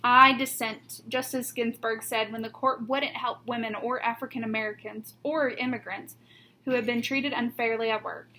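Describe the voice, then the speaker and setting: A woman reading a children's picture book aloud like a teacher, with deliberate emphasis on key words. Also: on the high side, bright, forceful tone, rough recording. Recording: noticeable background noise.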